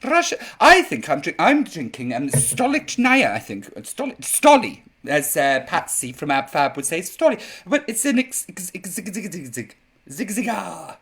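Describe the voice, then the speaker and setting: A man hosting a live chat show in a camp drag persona, sounding a bit croaky. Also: high voice, sing-song, moderate pace, fairly bright tone. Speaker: drag queen host. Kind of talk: live-streamed chat show, reading viewer comments.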